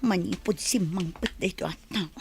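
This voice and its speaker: Scared bitter abused wife, ilocano accent, radio drama, creaky muttering over music. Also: female voice, on the low side, moderate pace, a little mellow. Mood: fearful, secretive. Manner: muttering under her breath. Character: fearful, resentful battered young wife, secretly plotting escape.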